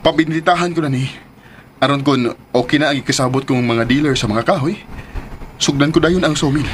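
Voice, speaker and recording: A man's voice: fairly high pitch, brisk, lightly acted. A man playing an earnest, ambitious young businessman and devoted son in a radio drama. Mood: eager, determined. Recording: faint background noise.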